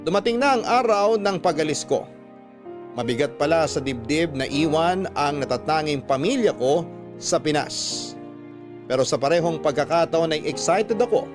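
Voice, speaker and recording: A Filipino man narrates a radio drama, reading a personal story from a script. His voice sits a little high for a man, and music plays clearly beneath it. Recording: music bed under the voice.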